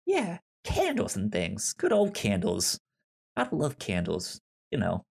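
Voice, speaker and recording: A man co-hosting a casual podcast chat, pitching movies with many stammered restarts and fillers. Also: huge pitch swings, a little slow, creaky, slightly rough. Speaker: podcast co-host.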